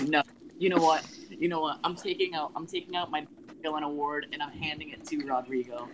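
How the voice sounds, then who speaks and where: A man's voice: fairly high pitch, little pitch movement, brisk, noisy background. A man chatting casually as a game contestant, recounting things to the group.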